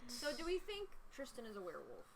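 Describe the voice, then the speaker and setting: A woman's voice: on the high side, wildly swooping pitch, fast talker, vocal fry. A young woman co-hosting a let's play, giving casual, joking commentary among friends. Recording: chatter of friends around the voice.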